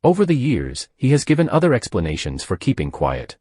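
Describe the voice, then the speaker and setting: A man reading a news article aloud as the narrator of a news channel, in a steady scripted delivery. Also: mid-range voice, a little fast, slightly rough, dry recording, studio quality.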